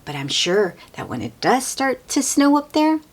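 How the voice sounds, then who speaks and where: Very animated, slightly husky woman storyteller. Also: high-pitched, moderate pace. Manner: expressive, child-directed, often hushed. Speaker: children's read-aloud host. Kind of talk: animated storybook reading with asides to children.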